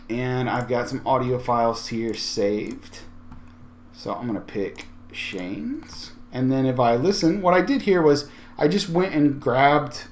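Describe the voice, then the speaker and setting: Guy doing a laid-back screencast walkthrough, mid-pitched voice, explains stuff conversationally like he's thinking out loud. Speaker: tutorial presenter. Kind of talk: casual software screencast walkthrough.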